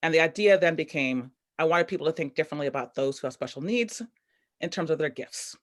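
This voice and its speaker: A woman's voice: sits low, fast. Woman, event host, upbeat, chatty, video call. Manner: upbeat, chatty, loose, with many fillers. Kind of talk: hosting a live online event.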